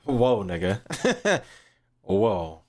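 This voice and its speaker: Young guy reacting to a game, slangy and sweary, slow drawn out words, pitch jumps around a lot. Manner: slangy, profane, expressive interjections. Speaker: video game reaction host. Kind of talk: casual, off-the-cuff reaction commentary.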